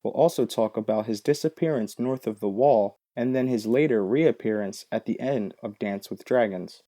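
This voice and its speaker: A man's voice: lowish voice, normal speed, dark tone. A man hosting a video with scripted explainer narration. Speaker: video host.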